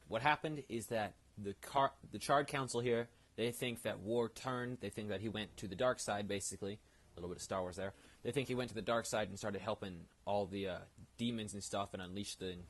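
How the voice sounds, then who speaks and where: Low-voiced man doing casual game commentary. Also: fairly even intonation, moderate pace. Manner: informal, explanatory, rambling asides. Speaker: gaming video host. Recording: loud background noise.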